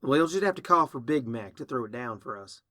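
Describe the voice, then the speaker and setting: A man's voice: medium pitch, expressive, fairly quick, a bit bright, no room sound, clean studio sound. A man voicing a blunt, protective older-sister farm pony in a narrated story, emphatic and exasperated. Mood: grim, practical.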